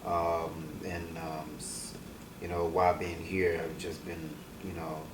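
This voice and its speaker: A young man from Ghana, an artist, answering interview questions in a soft-spoken, hesitant way with many ums. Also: deep voice, monotone, takes it slowly. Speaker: guest artist. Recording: echoey room, distant mic, hiss.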